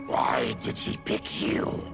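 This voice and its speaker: An actor playing a gruff, proud and fierce male mutant warrior with a gravelly voice in a video game cutscene. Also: takes it slowly, loud music behind the voice, natural voice. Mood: wary, disgusted. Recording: loud cinematic game score behind.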